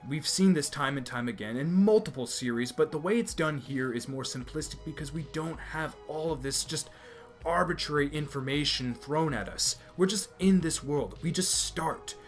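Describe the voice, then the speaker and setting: A man's voice: on the high side, moderate pace, faint music behind. A young man from America hosting an anime review video, emphatic and opinionated, often repeating his points. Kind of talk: enthusiastic review commentary.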